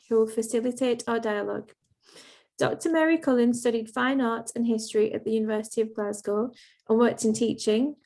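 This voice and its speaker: A young woman with an English accent, opening an online event as host with measured, prepared remarks and occasional ums. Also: a little high, a little mellow. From England.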